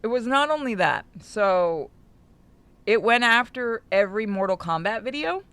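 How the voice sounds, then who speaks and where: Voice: medium pitch, expressive, normal speed, a bit bright, smooth. A streamer co-hosting a playthrough, chatting casually, swearing freely and reading game narration aloud, with game music behind. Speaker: streamer co-host reading a visual novel aloud.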